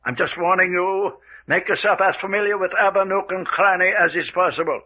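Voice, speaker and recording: A man's voice: high-pitched, fairly quick. A man playing a gloating, sinister radio-drama villain who speaks in an affected, chuckling way and stammers over repeated words. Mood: taunting, warning. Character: gloating, sinister villain giving menacing guided tour. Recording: dull lo-fi radio recording.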